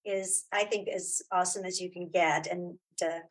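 A woman's voice: on the low side, steady tone, normal speed, poor audio. A woman speaking as a guest in a casual interview, chatty and informal, often restarting her sentences. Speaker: interview guest, audio drama creator.

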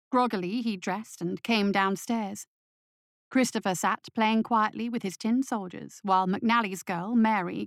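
A woman narrates horror fiction like an audiobook. Her voice is medium in pitch and measured, with dramatic delivery. The sound is very dry, with little room. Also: no echo. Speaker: story narrator.

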